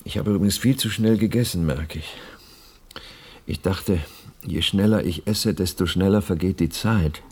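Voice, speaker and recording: An actor playing a grieving, eager widower in a radio play, brooding and commanding. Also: male voice, deep voice, moderate pace, darkish tone, slightly rough. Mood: brooding, earnest. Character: grieving, eager widower bent on summoning his dead wife.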